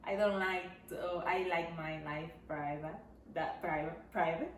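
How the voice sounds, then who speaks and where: A woman's voice: fairly low pitch, steady tone, a little slow. A woman from the Dominican Republic with a Dominican Spanish accent, chatting casually and playfully as a vlog co-host, insisting and repeating herself for emphasis. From Dominican Republic (Santiago). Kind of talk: casual couple chat. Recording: roomy echo, clear background noise.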